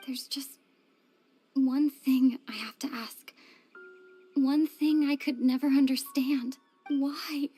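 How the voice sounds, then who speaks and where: A woman voicing an earnest young traveler, a long-separated sister, in a soft, breathy voice for a video game drama. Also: sits high, steady tone, slow, long pauses, soft background music. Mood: wistful, pleading.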